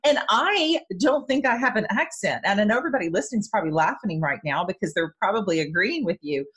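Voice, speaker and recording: A woman's voice: medium pitch, lively intonation, a bit bright, husky. A woman podcast host with a Southern American accent, chatting enthusiastically with her guest. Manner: chatty, enthusiastic, quick asides. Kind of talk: casual interview chat.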